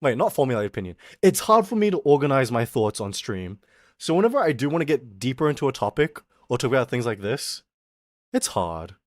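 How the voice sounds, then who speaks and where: Animated young man ranting on stream. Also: mid-range voice, dry recording, studio quality. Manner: animated, exaggerated, quick mock voices and laughs. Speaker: VTuber streamer. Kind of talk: casual ranting stream chat.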